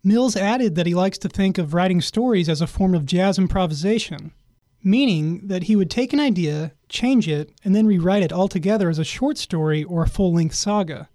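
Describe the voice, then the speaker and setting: Man, news narration, measured, high pitch, dry recording. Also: mellow, no room sound. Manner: measured reading.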